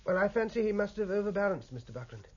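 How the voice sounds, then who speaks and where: Young British navy lieutenant, deferential and understated, husky higher voice, muffled radio play. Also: male voice, big pitch swings, fast talker. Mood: deferential, guarded. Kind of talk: radio drama acting.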